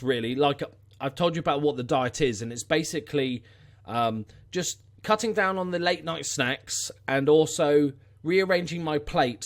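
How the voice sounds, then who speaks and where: A man with a Scouse accent and a slightly high voice talks casually to his viewers. He is unscripted and informal, with a wry, blunt turn of phrase as he hosts his vlog. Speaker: YouTube channel host.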